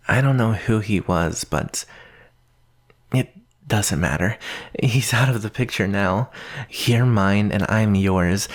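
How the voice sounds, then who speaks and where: A man playing an obsessive, lovestruck stalker in an intimate ASMR roleplay, with halting, murmured delivery. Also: on the low side. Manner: slow, halting, breathy murmurs, nervous laughs. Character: obsessive, lovestruck young male stalker, softly menacing captor.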